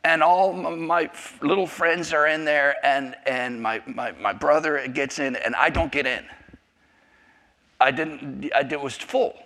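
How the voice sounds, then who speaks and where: A man in his fifties, raised in South Africa on Afrikaans but speaking with an American accent, tells his testimony to a church class. His voice sits a little high and rings bright and forceful. He rambles, cuts himself off and jokes with the room. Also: fairly even intonation, a little slow, gravelly. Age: 50s. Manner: rambling, self-interrupting, jokey. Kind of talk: personal testimony talk.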